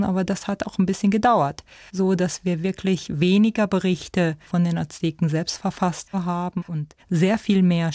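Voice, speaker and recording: Woman, academic interviewee, soft-edged mellow timbre, dry recording, unscripted explanation. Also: fairly low pitch, no room sound. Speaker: ethnologist, museum director, interviewed expert. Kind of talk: spontaneous expert explanation in an interview.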